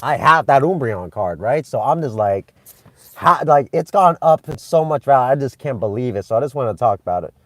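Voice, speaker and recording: A young man from Vietnam chatting with live-stream viewers, excitable and rambling, with many restarts and fillers. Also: medium pitch, fairly quick, no room sound. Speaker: channel host streaming live. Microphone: phone.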